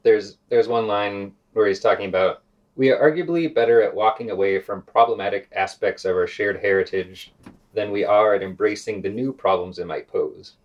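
A man's voice: on the low side, normal speed, dull audio. A man speaking as a podcast panelist in casual discussion, thinking through his points with tentative phrasing.

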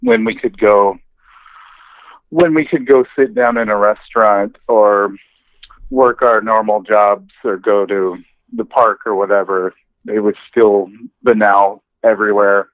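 Tinny phone-like call, man, lowish voice, very slow halting pace, casual podcast guest. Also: fairly even intonation, no echo. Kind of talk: casual conversation. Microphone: remote call.

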